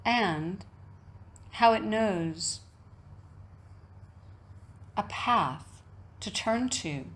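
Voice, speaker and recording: A woman teaching yoga nidra, giving a reflective guided meditation talk in measured, deliberate phrases with frequent pauses. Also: mid-range voice, lively intonation.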